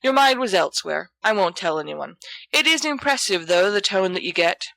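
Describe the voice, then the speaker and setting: A woman's voice: mid-range voice, animated, normal speed, gravelly, pushed and bright, dry recording. A woman as a teasing, composed teenage girl.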